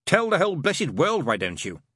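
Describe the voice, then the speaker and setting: Man voicing a sly, sardonic demon, swooping pitch. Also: huge pitch swings, normal speed, fairly bright tone, dry recording, studio quality, heavily acted. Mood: wretched, snappish. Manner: sarcastic, exasperated.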